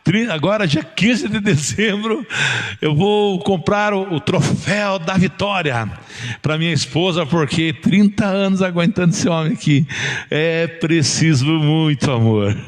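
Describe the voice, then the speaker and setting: A man's voice: on the high side, unhurried. A middle-aged Brazilian man giving an informal sermon as a pastor, conversational and jocular, often tossing out rhetorical questions. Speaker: pastor preaching. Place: church building, preaching to a congregation. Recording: long reverberant hall sound.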